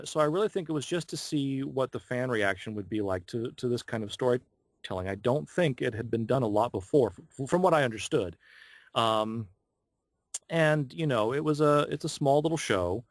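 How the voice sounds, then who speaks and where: A man of average pitch talks casually as an interview guest. He is a voice actor and tells his stories in an animated way, voicing the people he quotes. The sound is dry, with very little room echo. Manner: animated storyteller, acts out quoted dialogue. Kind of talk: casual anecdotal interview talk.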